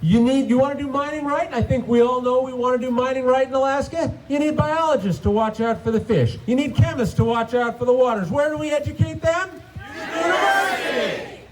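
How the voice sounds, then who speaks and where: A man's voice: very high-pitched, brisk, a crowd in the background, background noise. A man, a state senator, giving an emphatic rally speech built on punchy rhetorical questions.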